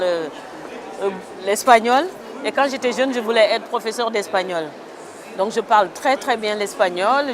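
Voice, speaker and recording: A woman from Senegal, an author answering interview questions outdoors in a thoughtful, hesitant way with many fillers. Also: medium pitch. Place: outdoors in a town. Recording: crowd noise outdoors. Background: crowd chatter.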